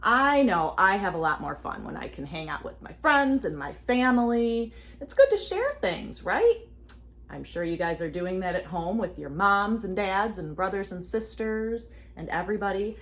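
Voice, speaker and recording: A woman reading a storybook aloud expressively to children, pausing for asides and questions to her young listeners. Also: medium pitch. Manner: animated, addresses children with questions. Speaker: children's read-aloud reader.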